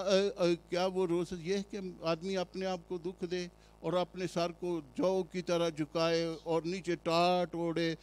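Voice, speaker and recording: A male pastor with a Pakistani Urdu accent, preaching a sermon with emphatic rhetorical questions and frequent hesitant pauses. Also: on the high side, darkish tone.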